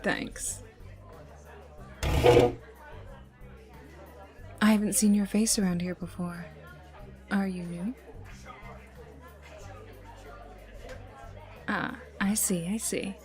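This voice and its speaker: Woman acting as young female villain enforcer, ASMR roleplay, slow delivery, breathy, taunting, menacing, faint music behind. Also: mid-range voice, darkish tone, some chatter behind, lightly acted. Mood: cocky threat. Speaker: voice actor in audio roleplay. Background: ambient music and mild sound effects.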